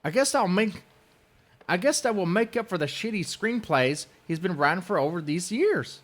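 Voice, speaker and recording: A man voicing a shrill, aggrieved, mocking rival in an exaggerated, sneering dramatic reading, his voice raised and strained. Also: animated, moderate pace.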